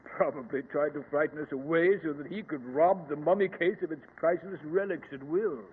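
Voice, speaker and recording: A man playing a confident, scoffing gentleman archaeologist in an old-time radio drama, brisk and self-assured. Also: on the high side, natural voice. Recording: muffled, reverberant, hissy old radio recording.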